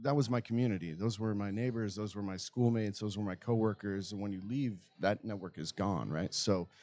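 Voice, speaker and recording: An American man with a low-pitched voice speaks as a guest in a loose, laid-back chat. He rambles informally and is happy to swear. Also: fairly quick, darkish tone. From Utah, United States. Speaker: podcast guest, cigar brand co-owner. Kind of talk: casual chat.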